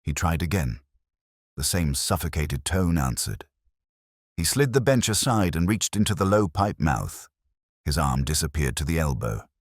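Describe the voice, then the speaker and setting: A male storyteller reads detective fiction in an extremely deep voice with a rough husk. The delivery is measured and literary, recorded very dry and close. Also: dry recording. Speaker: narrator. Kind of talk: scripted narration, audiobook mystery storytelling.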